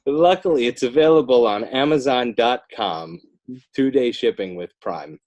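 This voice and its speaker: A man's voice: medium pitch, normal speed, a little mellow, dull audio. An American man talking casually as a guest author in a podcast interview. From Northern New Jersey, United States.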